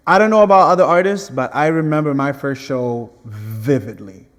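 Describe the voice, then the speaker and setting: A man's voice: mid-range voice, moderate pace, big-room sound. A young man from Angola, whose first language is Portuguese, chatting earnestly in an interview and restarting his phrases. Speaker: guest artist. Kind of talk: casual interview chat.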